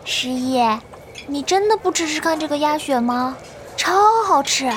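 A woman acting a lively young crew member in an audio drama, with music behind her. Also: high voice, measured pace, slight background noise. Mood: cheerful.

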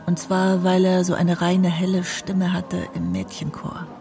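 A woman's voice: fairly low pitch, monotone, measured pace, creaky, mellow, faint music behind. An actress playing a singer's doting elderly mother in a radio drama, telling her memories with tender wistfulness. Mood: nostalgic, fond.

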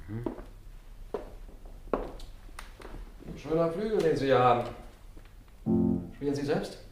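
An actor playing a stern police investigator in a German radio crime drama, questioning in a clipped, insistent way. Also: male voice, medium pitch, animated, slow pace, music in the background. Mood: probing.

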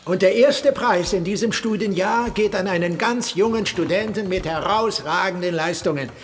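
Guy acting uni official doing awards speech, high loud voice, far from mic, noisy, radio play. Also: normal speed, lightly acted. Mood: ceremonial. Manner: loud, ceremonial announcing. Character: formal male university official at award ceremony. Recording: distant voice in noisy hall.

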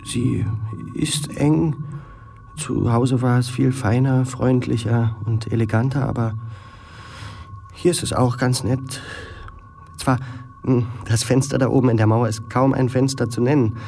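An actor playing an effusive young pupil in a radio drama, speaking in a florid, hesitant, imploring way. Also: male voice, mid-range voice, steady tone, a little slow, vocal fry, mellow, a little hiss or hum. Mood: hesitant, contrite. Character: pleading, effusive, insolent young male pupil.

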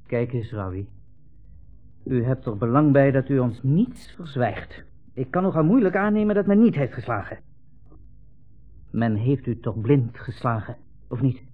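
A man's voice: mid-range voice, expressive, a little slow, pauses often, faint music behind. An actor playing a smug, insinuating army captain in a 1960s radio play, hemming as he puts condescending, leading questions. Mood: probing. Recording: muffled old radio recording, narrow band.

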